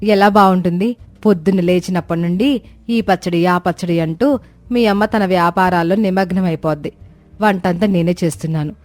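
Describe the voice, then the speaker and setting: A woman's voice: fairly low pitch, moderate pace, darkish tone, dry recording, natural voice. A woman voicing a discontented young wife in a cartoon moral story, complaining and insistent. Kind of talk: dramatised moral story for animation.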